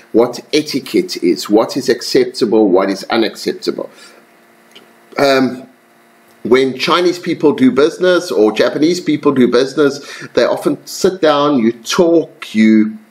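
Male lecturer, mid-pitched voice, casual voice-over for slides, calls students "guys". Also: rough recording. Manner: relaxed, addresses students as guys, many ums and self-corrections.

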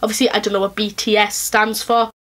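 A young woman with a thick Welsh accent, ranting casually to camera in her bedroom, fast and chatty with lots of fillers. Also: mid-range voice, little pitch movement, fairly bright tone. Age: young adult. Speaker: vlogger. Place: small bedroom, indoors.

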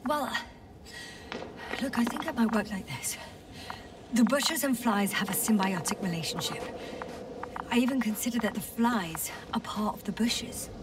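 An actress playing a thoughtful, worried woman scientist exploring space, speaking in a hushed, measured, earnest way in a video game. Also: a little high, recorded from a distance, noisy background, lightly acted. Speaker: crew scientist. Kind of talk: dramatic game voice acting.

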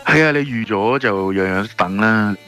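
A man with a Hong Kong Cantonese accent explains things casually as an interview guest. His voice is fairly low yet bright and forward, with music faintly underneath. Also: normal speed. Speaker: radio interview guest, shop owner. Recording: music playing behind the voice. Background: background music.

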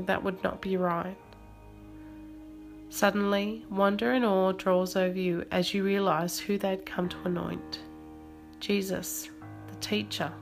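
A woman reading a scripted guided meditation in a measured, soothing manner. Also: on the low side, gravelly. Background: soft music.

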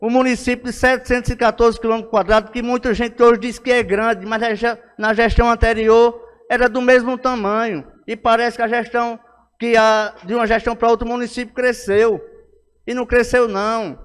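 High-voiced Northeastern Brazilian man, echoey hall. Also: flat delivery, brisk, clean tone. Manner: emphatic, insistent, oratorical. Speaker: city councillor. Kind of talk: political speech in a plenary session. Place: indoor council chamber at a lectern. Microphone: gooseneck podium microphone. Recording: echoey hall with long reverb.

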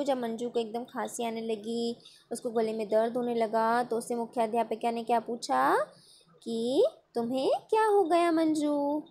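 A woman's voice: on the high side, a little mellow. A woman teacher taking a class through a worksheet, patiently asking questions and then answering them herself. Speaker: school teacher. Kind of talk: recorded online class over worksheet.

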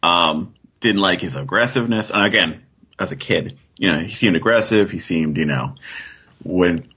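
Deep, husky American man, muffled sound. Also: moderate pace, sharp tone. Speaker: podcast guest. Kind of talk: casual chat.

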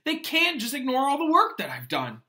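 Storybook teenage boy wizard played by a man, distraught and protesting, pitched high, loud and forceful. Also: huge pitch swings, moderate pace, heavily acted. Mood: indignant howling. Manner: protesting, exclaiming.

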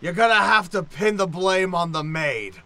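An actor playing a drunk, scheming mentor in an improvised old-time radio play, grandiose and dramatic. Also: male voice, high-pitched, moderate pace. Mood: manic, scheming. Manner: grandiose, dramatic, swinging from murmurs to shouts. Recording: noticeable background noise.

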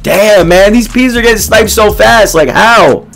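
Hoarse young man, laid-back gaming chat. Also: sits high, measured pace, a bit bright, soft background music. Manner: laid-back, filler-heavy, addresses viewers as boys. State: hoarse, voice nearly gone. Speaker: gaming YouTuber. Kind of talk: casual gameplay commentary. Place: small dark room indoors.

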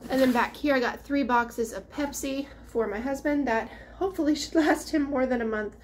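A woman's voice: sits high, moderate pace, a little hiss or hum. A young mother casually walking through her family's grocery haul and pantry at home, chatty and sometimes losing her words, recorded on a handheld camera. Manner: chatty, loses words, trails off. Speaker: mother of a large family, vlogger. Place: indoors at home, dining room and pantry. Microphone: handheld camera held at chest height.

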